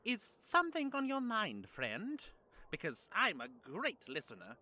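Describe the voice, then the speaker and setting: A man's voice: sits high, wildly swooping pitch, measured pace, pushed and bright, heavily acted. A man voicing a cheerful, naive, boastful male skeleton guard who sounds eager and self-assured, in an audio drama. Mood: earnest.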